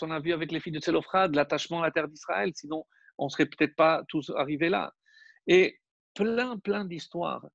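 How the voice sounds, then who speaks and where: A man's voice: fairly high pitch, creaky, no echo, lo-fi sound. A man, a rabbi whose first language is not French, giving an emphatic Torah class and calling out to his listeners. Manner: emphatic, addresses listeners directly.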